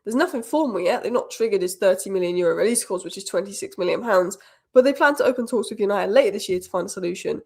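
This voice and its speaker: A woman rattles through football news at a brisk clip, her voice a little croaky from a cold. She gives strong fan opinions in quick, decisive bursts, at a middle pitch. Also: animated. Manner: opinionated, rapid-fire fan commentary. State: has a cold, slightly croaky. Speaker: football channel host. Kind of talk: fast solo news roundup.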